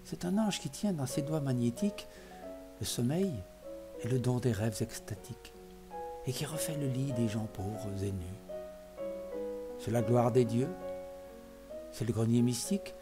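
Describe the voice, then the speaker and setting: A man recites poetry in a theatrical, literary way. His voice sits at a medium pitch, and he weighs each phrase with expressive emphasis. Music plays clearly behind him. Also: unhurried, long pauses. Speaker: poetry reader.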